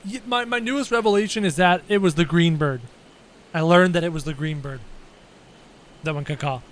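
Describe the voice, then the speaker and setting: A man's voice: fairly high pitch, normal speed. A man livestreaming a video game with casual, joking, exclamatory commentary, thinking aloud as he chats with viewers. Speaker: live game streamer.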